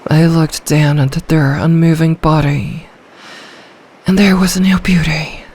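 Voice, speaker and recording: Woman doing an ancient lonely sea dragon lady, slow brooding asmr fantasy monologue, gravelly murmur, some noise behind it. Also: sits low, fairly even intonation, darkish tone, heavily acted. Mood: mournful, bitter. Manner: slow, storybook-formal, brooding, lapses into breathy whispers. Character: ancient, lonely, possessive female sea dragon in human form.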